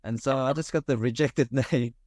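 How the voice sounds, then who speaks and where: A man hosting a podcast, chatting thoughtfully with many fillers and pauses. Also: medium pitch, steady tone, a little mellow, no room sound, clean studio sound. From Korea. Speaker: podcast host. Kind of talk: casual conversation. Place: Australia.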